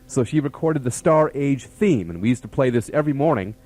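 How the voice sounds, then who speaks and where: A man's voice: mid-range voice, lively intonation, brisk, dark tone. A man, a radio station staffer, reminiscing in casual, chatty conversation.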